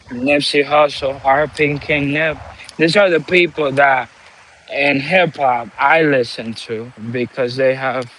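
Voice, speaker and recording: Slow, preachy man. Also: medium pitch, fairly bright tone, a little hiss or hum, low-quality recording. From Dominican Republic. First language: Spanish. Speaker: livestream host. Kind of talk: motivational live-stream talk.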